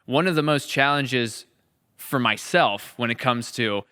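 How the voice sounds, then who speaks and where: A man's voice: mid-range voice, unhurried, bright, forceful tone, smooth. An American man hosting a podcast in casual, reflective conversation, emphatic and repeating phrases for weight. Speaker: podcast host and series creator.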